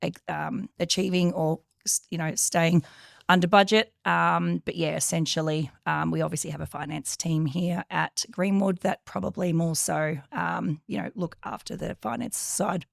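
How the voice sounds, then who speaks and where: Young female guest, a bit of vocal fry, voice going, hesitant answers. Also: on the low side, fairly even intonation, normal speed, no room sound, studio quality. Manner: hesitant, many fillers. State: losing her voice. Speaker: project coordinator guest. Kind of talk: casual interview answers.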